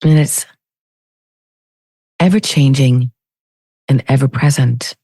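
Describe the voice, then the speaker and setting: Slow, low-voiced woman guiding meditation. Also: slightly rough, no echo. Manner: gentle, unhurried, with long pauses. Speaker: meditation teacher. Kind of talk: guided meditation.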